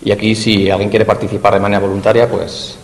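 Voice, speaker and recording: Spanish lecturer in an echoey hall. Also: male voice, fairly low pitch, little pitch movement, fast talker. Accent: Spain. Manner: explanatory, addresses audience directly. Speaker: university lecturer. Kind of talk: academic conference talk. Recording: reverberant hall, faint noise.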